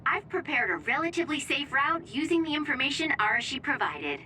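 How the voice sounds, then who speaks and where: A woman's voice: high-pitched, sing-song, brisk, sharp tone, a little hiss or hum, exaggerated voice. An actress voicing a helpful, polite young female navigator in an anime game dub.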